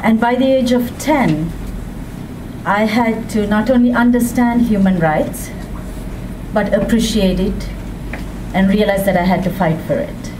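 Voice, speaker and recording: A woman from Sri Lanka giving a public speech as a women's rights advocate, with a measured, deliberate delivery and emphatic rises. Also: medium pitch. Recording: distant mic with background noise.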